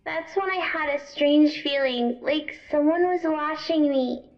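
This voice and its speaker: Slow-talking, very high-voiced scared little girl. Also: highs cut off, faint music behind. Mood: uneasy, hushed. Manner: halting, childlike, tearful telling. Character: frightened young girl recounting a killer's attack. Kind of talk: audio drama testimony.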